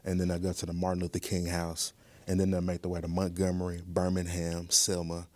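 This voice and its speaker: A man answering as an interview guest in a measured, explanatory way. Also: low-pitched, monotone, a little mellow, no room sound. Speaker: museum director, podcast guest. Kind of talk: conversational interview.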